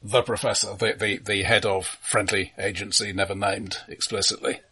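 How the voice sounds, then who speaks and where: A man co-hosting a casual film discussion in a halting, wry conversational manner. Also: fairly low pitch, flat delivery, pushed and bright, slightly rough. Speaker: podcast co-host.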